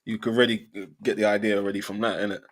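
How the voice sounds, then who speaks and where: A man on a football podcast panel, bantering in a slangy, incredulous, animated way. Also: fairly low pitch, racing. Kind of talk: casual banter.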